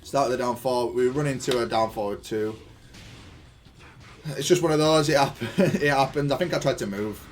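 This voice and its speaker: A man's voice: medium pitch, normal speed, soft background music. A man giving casual gameplay analysis of his own match in an informal, matter-of-fact, self-critical way. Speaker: gaming content creator reviewing own match.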